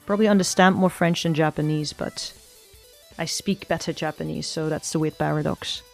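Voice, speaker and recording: A woman's voice: deep voice, steady tone, normal speed, gravelly, faint music behind. Rambling woman streamer. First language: Flemish Dutch. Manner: rambling, thinking aloud with frequent self-corrections. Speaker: virtual streamer. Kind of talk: casual chat while drawing, answering chat.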